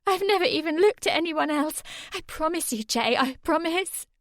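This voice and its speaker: A woman's voice: sits high, lively intonation, normal speed, dry recording, clean studio sound, voice pushed up. A woman reading aloud and voicing a frightened teenage girl who pleads desperately and tearfully. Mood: pleading.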